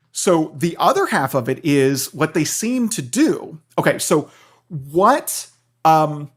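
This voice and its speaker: Male professor explaining at length. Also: a little high. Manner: professorial, checks in with "right?". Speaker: guest philosophy professor. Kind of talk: explanatory interview talk.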